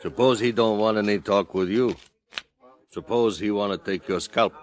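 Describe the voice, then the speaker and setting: An actor playing a cagey half-breed frontier interpreter in a 1950s radio drama, speaking in clipped broken phrases. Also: male voice, lowish voice, normal speed, lightly acted.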